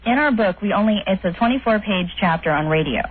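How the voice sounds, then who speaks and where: A woman's voice: mid-range voice, animated, fast talker. A young woman speaks as a caller to a radio show, earnest and persistent in a casual, unscripted way. Her voice comes over a slightly muffled phone connection with faint noise. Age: young adult. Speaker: phone-in caller, college student. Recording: dull, faintly noisy phone call.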